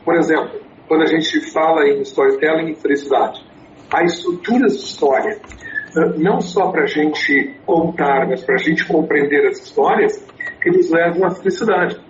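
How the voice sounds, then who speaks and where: A man's voice: fairly high pitch, moderate pace. Man in his sixties, English native speaker. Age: 60s. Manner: thoughtful, with many fillers and restarts. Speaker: storytelling consultant and speaker. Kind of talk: interview answers. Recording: echoey, dull, slightly noisy.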